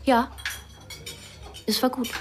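A woman's voice: sits high, little pitch movement, slow pace, darkish tone, a little hiss or hum. A woman playing a guarded, terse young Pakistani girl in a radio drama.

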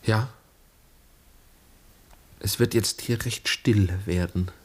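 An actor playing a serious, searching son and brother in a German radio drama, earnest, polite and probing. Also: male voice, fairly low pitch, slow pace, slightly rough. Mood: earnest, concerned.

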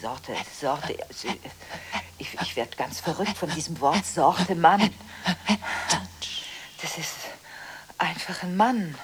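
An actress playing a distraught, contradictory woman in a radio drama, talking on the phone in a halting, agitated way with repeated words and broken-off phrases. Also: low voice, expressive, slight background noise. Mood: defensive, bitter. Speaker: lead actress in a radio play. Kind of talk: 1980s German radio drama acting, telephone monologue.

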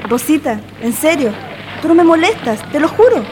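A woman's voice: high-pitched, big pitch swings, moderate pace, background noise. A woman acting an earnest, lovestruck young woman in an amateur radio play, with a Chilean accent and a dreamy, girlish manner.

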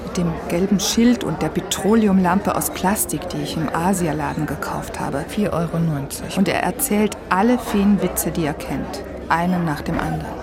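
A woman's voice: lowish voice, normal speed. A woman narrating a scripted first-person story in a radio drama. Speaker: narrator and author. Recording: music and crowd sounds behind. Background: music, crowd.

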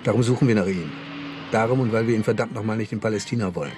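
An actor playing a cynical, quietly menacing intelligence agent in a radio crime drama, dry and insinuating. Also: male voice, fairly low pitch, very fast, background noise, lightly acted.

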